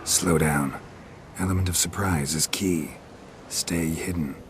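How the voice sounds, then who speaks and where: Seasoned warrior for a fantasy game: very low male voice, terse and deadpan, unhurried calm, noisy ambient backdrop. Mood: measured, instructing. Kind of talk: video game dialogue.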